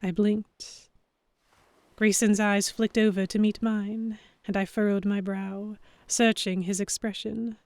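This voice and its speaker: A woman playing an earnest, anxious young heroine, narrating a dramatic story in the first person with measured expression. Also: mid-range voice, level tone, a little mellow, no echo. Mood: introspective. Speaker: narrator and lead character.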